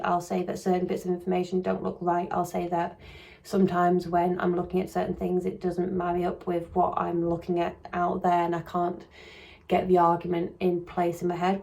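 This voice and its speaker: A woman talks through a true crime case in casual vlog commentary, her voice croaky and gravelly. She sits low and keeps a flat, even delivery. She rambles and hedges, restarting her sentences often. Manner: rambling and hedging, with frequent restarts. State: croaky voice coming on.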